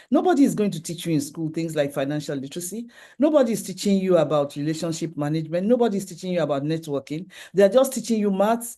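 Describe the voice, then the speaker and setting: Mellow-voiced middle-aged Nigerian conference speaker. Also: medium pitch. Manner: earnest, lecturing through lists of points, frequent restarts. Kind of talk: presentation with slides.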